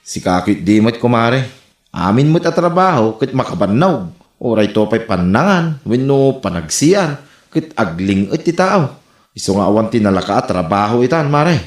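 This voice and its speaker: A man's voice: mid-range voice, sing-song, normal speed, lightly acted. A man with a northern Philippine accent playing an easygoing, gossipy neighbour in a comedy radio drama. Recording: roomy echo.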